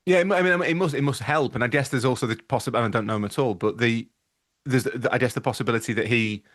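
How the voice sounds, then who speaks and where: A man chatting about football as a panel pundit. He talks quickly in a mid-pitched voice. His delivery is conversational and musing, with frequent qualifications. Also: fairly bright tone.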